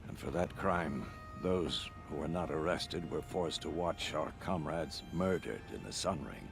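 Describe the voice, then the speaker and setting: Give me an older veteran hunter from a video game, self-satisfied and theatrical. His low voice is measured and pompous, a storyteller's delivery with music clearly behind it. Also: lively intonation, heavily acted. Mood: solemn, haunted. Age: middle-aged or older. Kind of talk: video game dramatic acting.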